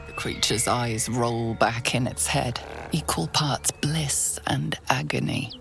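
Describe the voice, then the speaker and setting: Very deep, gravelly, ominous narrator. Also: female voice, over music, full character voice. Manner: slow, hushed, ominous. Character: grave, ominous female storyteller narrating a dark fantasy. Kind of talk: scripted second-person game narration.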